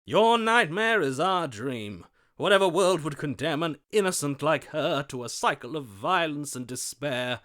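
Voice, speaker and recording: An actor playing a lovestruck, gallant romantic hero in a video game, speaking in a florid, swooning, melodramatic way. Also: male voice, fairly high pitch, fairly bright tone. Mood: fervent, pleading. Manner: florid, swooning, dramatic delivery. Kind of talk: theatrical video game voice acting.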